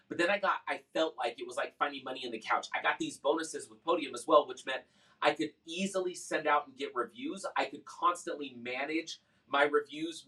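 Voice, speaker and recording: Bright-voiced man giving a casual review. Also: medium pitch, far from the mic, low-quality recording. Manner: conversational, explanatory. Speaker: software customer giving a review. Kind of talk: casual product testimonial.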